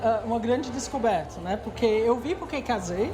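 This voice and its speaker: A woman's voice: a little high, animated, moderate pace, crowd noise. A woman in her sixties from England with an English accent, speaking Portuguese as a second language, answering interview questions with many hesitations and restarts. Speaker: guest executive.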